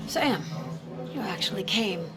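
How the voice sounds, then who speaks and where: A woman's voice: mid-range voice, lively intonation, unhurried, loud music behind the voice, natural voice. An actress playing a protective small-town mother in a video game, pointed and restrained in her reproach. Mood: reproachful.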